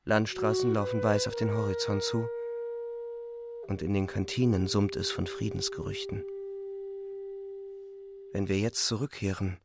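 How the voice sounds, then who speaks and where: An actor playing a weary, resigned narrator of a war story in a radio drama, calm, measured and solemn. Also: male voice, on the low side, gravelly, background noise. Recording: music behind the voice.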